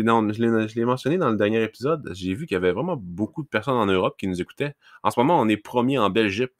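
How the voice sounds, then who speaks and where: Low-voiced Québécois man, rambling podcast. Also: a bit bright, dry recording, studio quality. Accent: Québécois French, Lac-Saint-Jean. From Quebec, Lac-Saint-Jean. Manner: rambling, many fillers and restarts, laughs at himself. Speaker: podcast host, hockey scout. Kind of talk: casual solo podcast talk.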